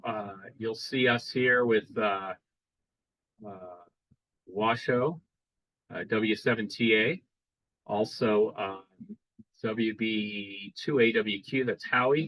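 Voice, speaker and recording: Halting man giving a radio club demo. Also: fairly low pitch, fairly even intonation, fairly bright tone, dry recording, rough recording. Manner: casual, halting, many fillers and restarts. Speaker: club presenter at a ham radio meeting. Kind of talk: live demo explanation. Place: club meeting room.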